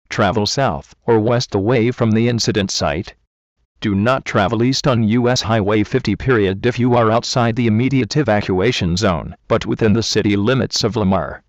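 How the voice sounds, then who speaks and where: A lowish male voice reads an official emergency alert in a formal, impersonal way. The delivery sounds synthetic and scripted. The audio is dry and muffled, with a lo-fi broadcast dullness. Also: no room sound.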